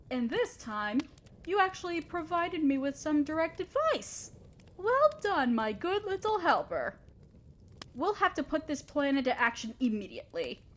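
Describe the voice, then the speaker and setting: A scheming evil queen, acted by a woman for a roleplay audio drama. Her voice sits on the high side, with an imperious, theatrical delivery. She talks down to her listener with haughty condescension and a begrudging fondness.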